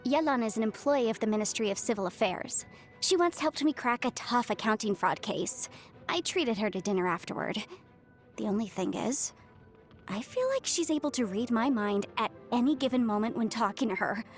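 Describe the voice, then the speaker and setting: A young female legal adviser from a video game with a high, very lively voice. She is chatty, proud and articulate, delivering her lines with studious self-assurance, over faint music. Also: normal speed. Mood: puzzled, suspicious.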